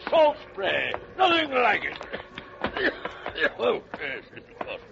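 An actor playing a jovial, blustering old gentleman swindler in a 1940s radio drama, with hearty theatrical delivery. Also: wildly swooping pitch, takes it slowly, vocal fry, heavily acted. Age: older. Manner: hearty, theatrical, hemming and correcting himself. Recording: muffled old radio recording, rumbling noise.

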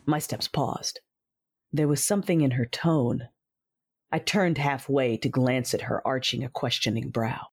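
A woman narrating and voicing a cold, proud female Sith warrior and mother in an audio drama, in a deliberate, measured way. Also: a bass voice, a little hiss or hum.

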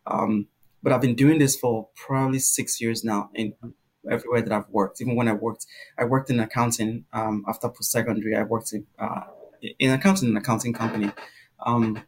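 A man's voice: mid-range voice, steady tone, brisk, slightly rough, poor audio. A young man from Nigeria, a guest in a casual interview, telling stories at length with many ums and an easy laugh. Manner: warm storyteller, laughs easily, many fillers.

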